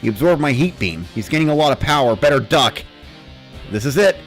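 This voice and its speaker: Animated male narrator over faint music. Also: medium pitch, brisk. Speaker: narrator of a dramatic reading series. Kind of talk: dramatic first-person narration of a game story.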